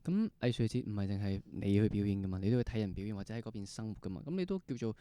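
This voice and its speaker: Male host, Hong Kong Cantonese accent, quick pace, mellow tone, casual interviewing, prompting questions. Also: on the low side, animated, clean tone, dry recording.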